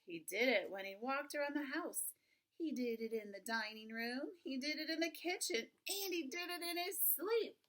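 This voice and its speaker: A woman reading a children's book aloud expressively, with drawn-out emphasis and chatty asides. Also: high-pitched, animated, fairly bright tone. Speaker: reader of a children's picture book.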